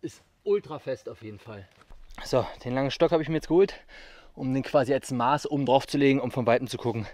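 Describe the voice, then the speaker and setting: A man's voice: mid-range voice, fast, a little mellow, no echo. A man in his thirties chatting casually to viewers while building outdoors in a misty forest, picked up by an action camera, puffing now and then from the work. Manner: chatty, addresses viewers directly, upbeat. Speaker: survival vlogger. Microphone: action camera mic at a distance.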